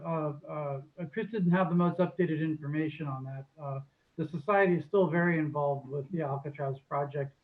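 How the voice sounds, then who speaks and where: A man's voice: on the high side, narrow, tinny audio. A man giving informal answers as an organization's representative, over thin video call audio. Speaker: society representative answering questions.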